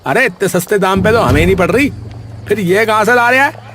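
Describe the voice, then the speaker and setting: Man voicing a high-pitched scheming village vegetable seller. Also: expressive, a little fast, a bit bright, a little hiss or hum. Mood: grumbling, suspicious. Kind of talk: comic cartoon dialogue.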